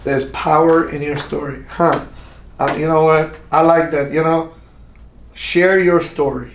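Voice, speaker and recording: A man's voice: on the high side, fairly even intonation, unhurried, slight background noise. A man from Pakistan hosting a casual interview podcast, often repeating words and restarting his sentences. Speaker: podcast host. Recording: echoey room.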